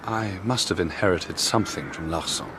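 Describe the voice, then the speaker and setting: Actor as clever, self-assured young detective. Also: male voice, deep voice, normal speed, background noise, natural voice. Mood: subdued. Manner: precise, composed, deductive. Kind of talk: period radio drama acting.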